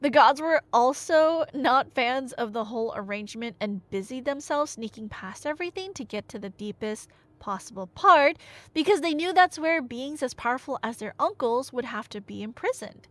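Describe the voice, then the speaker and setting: A high-voiced woman gives a casual, comic retelling of myth. Her pitch is very lively and she wanders into nerdy, slangy asides. The recording is dry and close. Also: moderate pace, dry recording. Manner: nerdy, slangy, animated, self-interrupting asides. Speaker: audio roleplay performer and storyteller.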